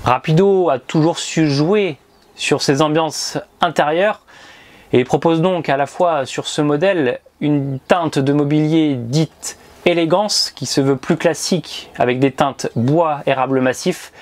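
A man's voice: fairly high pitch, measured pace. A man in his thirties presenting a scripted motorhome review on a lapel mic, with precise, presenter-like delivery. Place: inside a motorhome, then outdoors by the roadside.

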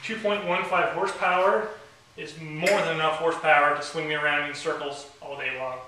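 Young man, plainspoken with dry humor, bright voice, heard from far off in a booming garage. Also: steady tone, fairly quick, slight background noise. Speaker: company owner demonstrating a product. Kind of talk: product demo talking to camera. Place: garage workshop, indoors. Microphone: camera mic from across the room. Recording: very echoey garage, heard from a distance.

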